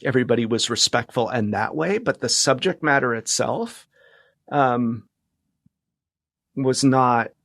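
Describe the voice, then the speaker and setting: A middle-aged man speaking as a guest in a casual interview, reflective and understated, with halting phrasing. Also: medium pitch, lively intonation, a bit bright, no room sound. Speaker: podcast guest, media professional.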